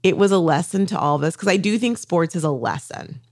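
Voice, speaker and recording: Woman coach hosting her own podcast, a bit low-voiced, talks eagerly and circles around her points. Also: brisk. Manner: enthusiastic, rambling, many restarts. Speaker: podcast host and social selling coach. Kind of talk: podcast chat.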